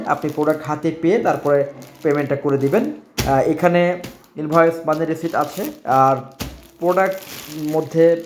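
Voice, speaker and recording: Higher-voiced Bangladeshi man, echoey room. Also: a little hiss or hum. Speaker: security equipment seller presenting order dispatches. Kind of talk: informal product shipment video addressed to customers.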